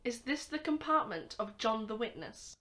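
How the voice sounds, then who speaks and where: A woman's voice: on the high side, big pitch swings, moderate pace, noisy background. A woman acting an earnest, formal young male cadet scientist in an amateur radio drama. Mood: polite, earnest.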